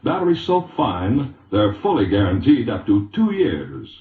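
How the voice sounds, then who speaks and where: Low-voiced American radio announcer, vintage and echoey. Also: male voice, huge pitch swings, a little slow. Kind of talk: scripted 1940s radio advertising announcing. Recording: vintage radio recording, roomy echo, muffled lo-fi.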